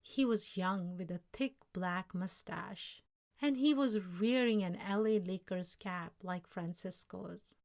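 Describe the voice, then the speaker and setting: A woman narrates a children's story from the page. Her pace is slow and measured, and her reading is expressive, with a mid-range pitch. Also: gravelly. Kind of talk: scripted children's story narration, read aloud.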